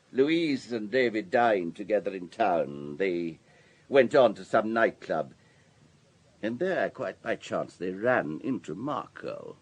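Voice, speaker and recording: A man's voice: lowish voice, husky, exaggerated voice. An actor in a radio drama playing an urbane, wealthy businessman with a polished, courteous, storytelling manner. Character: urbane, wealthy older businessman, discreet and self-assured.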